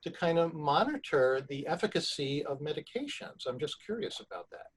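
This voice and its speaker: A man's voice: fairly high pitch, sing-song, moderate pace, slightly rough. A man who prescribes for children, interviewing a guest in a conversational way, curious and often repeating words as he thinks.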